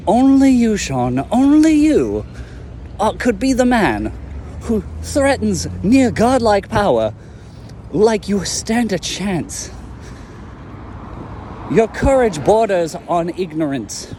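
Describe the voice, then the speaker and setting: A man's voice: sits high, big pitch swings, takes it slowly, pauses often, mellow, a little hiss or hum, heavily acted. A man voicing a sneering male villain in an amateur audio drama who talks in a condescending, mocking way. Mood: scornful.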